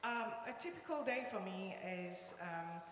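A woman's voice: medium pitch, lively intonation, measured pace. A woman from Malaysia whose first language is Cantonese, talking hesitantly about her work as an interpreter in front of a church. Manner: hesitant, many ums, gentle and earnest. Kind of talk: casual testimony on stage. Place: church hall. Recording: echoey church hall with faint noise.

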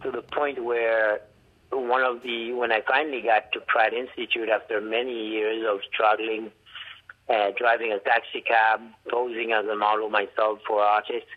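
A man's voice: on the low side, flat delivery. Haitian-accented man on a tinny phone line. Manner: reflective, halting with restarts, acts out quoted dialogue. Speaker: artist and art professor. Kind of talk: storytelling interview over the phone.

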